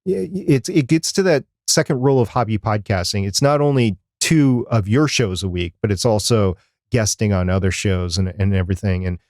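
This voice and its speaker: A man's voice: lowish voice, moderate pace, darkish tone, dry recording, clean studio sound. A man co-hosting a podcast in casual, chatty conversation, repeating phrases for emphasis. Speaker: podcast co-host. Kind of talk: casual chat about podcasting.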